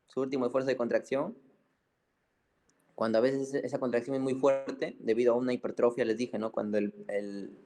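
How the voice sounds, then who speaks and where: A man teaches a medical class in a mellow, relaxed voice. He explains informally and colloquially, repeats key points and keeps adding little check-in tags for his students. His pitch sits in a middle male range. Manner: didactic, colloquial, with frequent check-in tags and repetition.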